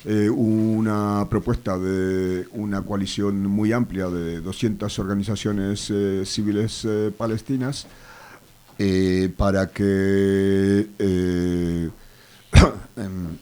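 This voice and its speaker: A man from Argentina with an Argentine accent, a guest on a radio show, speaking with a cold in a measured, hesitant way, full of fillers. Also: fairly low pitch, steady tone, dark tone, smooth. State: has a cold. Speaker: activist guest. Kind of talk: radio interview. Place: radio studio.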